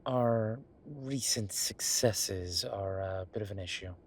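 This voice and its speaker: A man playing an easygoing, devoted boyfriend who is a small-town chef, talking casually and haltingly in an intimate, reassuring roleplay audio. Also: on the low side, a little hiss or hum. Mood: thoughtful. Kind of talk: intimate conversational roleplay acting.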